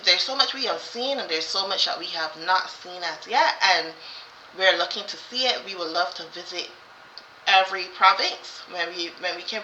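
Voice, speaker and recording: A woman's voice: on the low side, sharp tone, a little hiss or hum. A woman chatting casually as co-host, rambling and repeating herself with many fillers and restarts. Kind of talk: casual chat. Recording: roomy, slightly dull sound.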